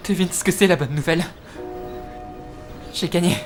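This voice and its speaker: A man's voice: high voice, normal speed, close to an everyday voice. A man playing an insolent, bitter seventeen-year-old son defying his mother in a fan-dubbed TV drama, casual, sarcastic and confrontational. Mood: bitterly triumphant. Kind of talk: amateur fan dub of TV drama. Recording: music under the voice. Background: music.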